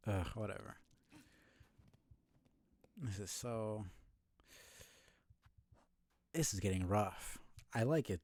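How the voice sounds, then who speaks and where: Low-voiced man muttering over gameplay. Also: darkish tone, no room sound, clean studio sound. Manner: casual, frustrated muttering and swearing while playing. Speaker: streamer. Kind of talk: live gameplay commentary.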